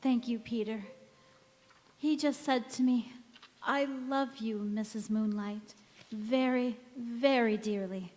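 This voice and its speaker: An actress playing a gentle, devoted elderly wife and mother in a stage radio play, wistful and serenely weary. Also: fairly high pitch, steady tone, takes it slowly. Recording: echoey hall, music behind.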